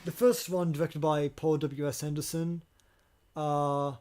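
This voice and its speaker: A man co-hosting a casual podcast about movies, talking in halting, hesitant phrases with casual swearing. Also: on the high side, flat delivery, a little mellow. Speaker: podcast co-host.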